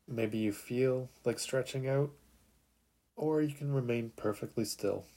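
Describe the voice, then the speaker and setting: A man narrating a guided sleep meditation in a calm, soothing, unhurried way with long pauses. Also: medium pitch.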